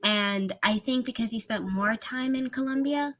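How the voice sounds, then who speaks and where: A woman's voice: fairly high pitch, moderate pace, faint music behind. A woman tutoring over a video call in a casual, chatty way, laughing often and filling with "like" and "you know". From Miami, USA. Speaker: English tutor.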